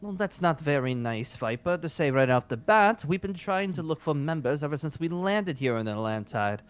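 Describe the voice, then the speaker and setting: A man playing a polite, eccentric guild wizard in improvised roleplay, genteel and halting. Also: fairly high pitch, expressive, clean tone, close to an everyday voice. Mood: politely insistent. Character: polite, eccentric male guild wizard who sniffs out dragons.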